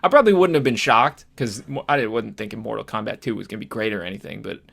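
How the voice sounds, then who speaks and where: Casual, halting male podcast host. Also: lowish voice, gravelly, a bit bright. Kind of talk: casual film discussion.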